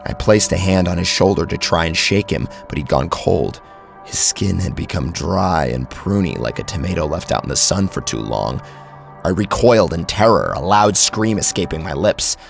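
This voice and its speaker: Casting: male horror narrator, low gravelly register, theatrical and suspenseful, swinging widely in pitch over a soft music bed. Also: expressive, moderate pace. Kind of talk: dramatic first-person horror story narration.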